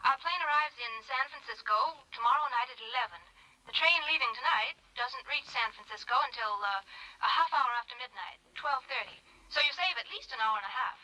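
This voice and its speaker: An actress playing a polite, efficient airline clerk over a telephone line, in 1940s radio style. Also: female voice, sits high, pushed and bright. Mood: courteous, efficient. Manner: brisk, courteous, businesslike. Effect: telephone filter. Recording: telephone voice in vintage radio recording.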